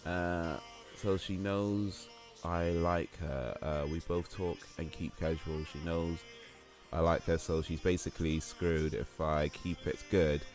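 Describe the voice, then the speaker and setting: Low-voiced man rambling casually on stream. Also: steady tone, slow pace, a little mellow, faint music behind. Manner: rambling, hesitant, many fillers and restarts. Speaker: livestream host. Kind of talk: casual stream chat, reading chat and quiz aloud.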